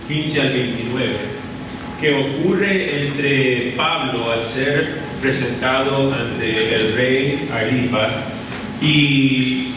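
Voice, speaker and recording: A man preaching a sermon in a church, speaking deliberately, repeating key phrases and pausing with short fillers. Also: mid-range voice, noisy background. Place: church sanctuary. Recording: echoey church hall from far off, with room ambience.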